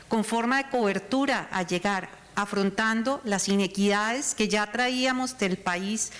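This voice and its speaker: A woman moderating a conference panel, speaking in a measured, formal way. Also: mid-range voice. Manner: measured, formal, occasionally restarts a phrase.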